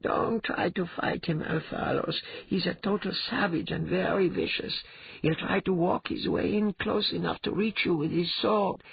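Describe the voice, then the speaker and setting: A man's voice: high-pitched, fairly even intonation, moderate pace, creaky, slightly rough, muffled recording, pitched above the performer's own voice, exaggerated voice. A man voicing a knowing, cautioning female cat companion in a fantasy storybook. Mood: urgent caution. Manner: urgent, cautioning.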